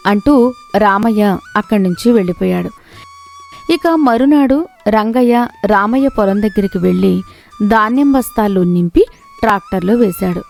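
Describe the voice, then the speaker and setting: Female storyteller for scripted moral tales, medium pitch with some creak, music underneath. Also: moderate pace, long pauses, a little mellow. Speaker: story narrator.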